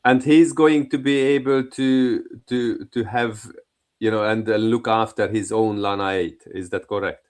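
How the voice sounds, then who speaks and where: A man's voice: on the low side, fairly even intonation, a little slow, smooth. A man whose first language is Hungarian, asking questions hesitantly and searching for words. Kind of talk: group call discussion.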